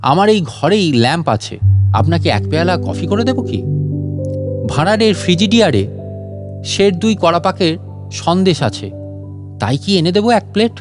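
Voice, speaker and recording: Male reader as meek portly gentleman, fawning, over-polite, high lilting voice, very animated, comic storybook. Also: moderate pace, long pauses, over music. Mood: gushing, humble. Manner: solicitous, naively admiring. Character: short pot-bellied man, meek, over-polite, fawning.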